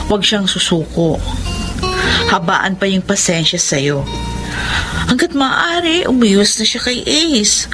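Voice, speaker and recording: A concerned young-sounding friend speaks slowly in a slightly high female voice. She is earnest and persuasive, in radio romance style, with music behind her. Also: rough recording. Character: concerned, pleading female friend urging reconciliation. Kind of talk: Tagalog radio romance drama acting. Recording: music bed under the voice.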